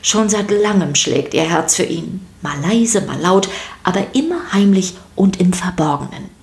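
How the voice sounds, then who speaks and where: Woman narrating a kids podcast, scripted, voice a bit on the low side. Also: lively intonation, measured pace, creaky, darkish tone.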